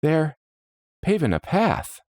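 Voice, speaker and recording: Man acting an earnest, higher-voiced young-hearted adventurer. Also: huge pitch swings, slow pace, a little mellow, no room sound, full character voice. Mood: urgent, troubled. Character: earnest, troubled male adventurer.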